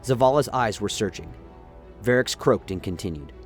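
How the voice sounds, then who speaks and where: A man's voice: on the low side, animated, moderate pace, faint music behind. A man narrating a science-fiction radio play in a dramatic, scripted storytelling style. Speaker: narrator, lore reader.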